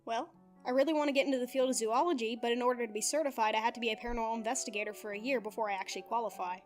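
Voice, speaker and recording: A woman's voice: a little high, fairly even intonation, racing, soft background music, natural voice. A woman voicing a friendly, chatty young woman who loves animals.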